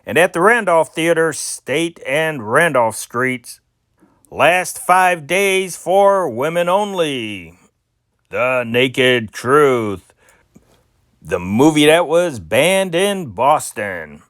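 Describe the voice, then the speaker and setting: Guy reading old newspaper listings, kinda high voice, real slow, trips on names, no room echo. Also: expressive, a bit bright, studio quality. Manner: halting delivery, stumbles over names. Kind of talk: amateur scripted reading of vintage news and listings.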